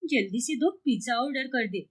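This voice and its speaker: A woman's voice: on the high side, animated, normal speed, slightly rough. A woman voicing a stubborn, lovestruck young woman with a whiny, dramatic manner in a cartoon story. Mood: indignant. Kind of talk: cartoon moral story dialogue.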